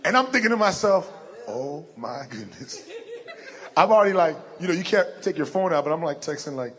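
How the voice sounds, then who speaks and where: A young adult man with a deep voice, preaching a sermon and telling a personal story in an animated way, acting out the lines he quotes. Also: a little fast.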